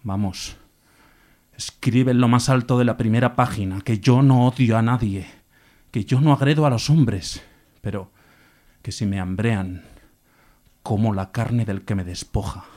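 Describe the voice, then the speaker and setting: Male stage actor, dark warm timbre, lowish pitch, dramatic poetry recital, emphatic phrasing, deliberate pauses.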